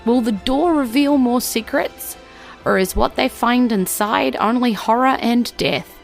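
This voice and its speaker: An excitable, playful woman with a New Zealand accent, hosting and narrating a tabletop game podcast in a theatrical, chatty way. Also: on the high side, a little slow, creaky, soft background music. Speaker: podcast host and game master.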